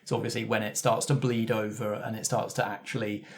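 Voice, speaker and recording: A man speaking as a game master and host in a casual group chat, thoughtful and measured as he picks his words. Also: on the low side, level tone, creaky, slight background noise. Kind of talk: casual group discussion of a tabletop role-playing game.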